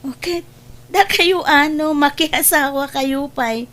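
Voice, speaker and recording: A woman playing a sharp-tongued, teasing woman in an Ilocano family comedy, scolding with drawn-out exclamations. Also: high voice. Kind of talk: comedy drama acting.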